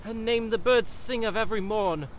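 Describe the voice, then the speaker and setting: A man's voice: high voice, normal speed, lightly acted. A man playing a lovestruck, pompous young prince in a comedic fairytale audio drama, declaiming in flowery, grandiose, melodramatic fashion. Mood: rapturous. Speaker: voice actor. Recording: amateur recording, clear background noise.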